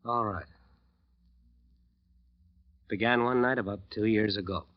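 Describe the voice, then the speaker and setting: An actor playing a despairing American bandleader in a 1940s radio drama, with weary, slangy talk. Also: male voice, lowish voice, measured pace, lightly acted. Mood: brooding narration. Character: haunted, despairing jazz bandleader confessing his crime. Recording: dull old radio recording with music.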